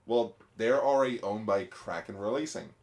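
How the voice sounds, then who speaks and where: A man with a lowish voice co-hosts a podcast. He chats casually and rambles, with loose fillers between his points. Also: normal speed, darkish tone. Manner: chatty, rambling, uses fillers. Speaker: podcast co-host. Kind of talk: casual chat about films.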